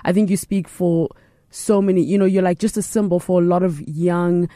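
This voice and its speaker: A woman's voice: fairly low pitch, fairly even intonation, moderate pace, mellow, no echo, studio quality. A woman interviewing a guest in a casual, chatty way, full of fillers and restarts. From family from Uganda.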